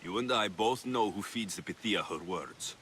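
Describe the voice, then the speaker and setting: A man's voice: lowish voice, moderate pace, a bit bright, a little hiss or hum, close to an everyday voice. An actor playing an earnest young mercenary in a video game, insistent as he speaks to an estranged sibling. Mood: grave explaining. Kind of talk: dramatic video game voice acting.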